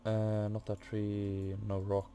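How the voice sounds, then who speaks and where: A man's voice: lowish voice, completely flat delivery, takes it slowly, dark tone, soft background music. A man giving a casual game walkthrough, hesitantly muttering to himself and reading text off the screen. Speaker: gaming video host. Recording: faint noise from a fan. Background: computer or room fan.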